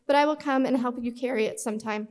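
Woman reading as cheery gentle storybook guy, high voice, encouraging, echoey hall reverb. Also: fast talker, close to an everyday voice. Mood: caring. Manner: inviting, encouraging. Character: cheerful, gentle male storybook friend, carefree and caring.

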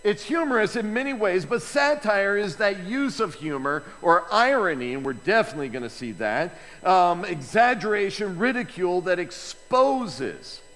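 A man preaching a sermon as pastor, chatty and lively, with asides to the congregation. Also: sits high, normal speed, few pauses. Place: church sanctuary. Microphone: microphone with feedback issues. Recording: long hall reverb, faint ambience.

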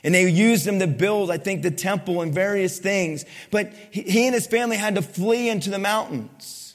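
A male pastor preaching a sermon to his congregation, asking it questions and swinging between loud emphasis and soft, low lines. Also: normal speed. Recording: long hall reverb.

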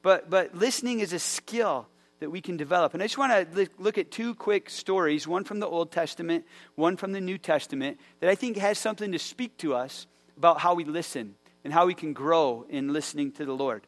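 A man delivering a sermon in a loose, conversational way, often restarting his sentences and leaning on fillers. His voice sits a bit higher than most men's, and he keeps the tone relaxed even as he tells the story with energy. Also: fairly quick. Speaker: pastor.

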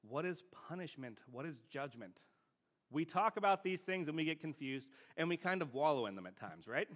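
A man's voice: on the high side, animated, normal speed, a bit bright. A man preaching a teaching sermon in a plain-spoken, conversational way, often checking in with rhetorical questions.

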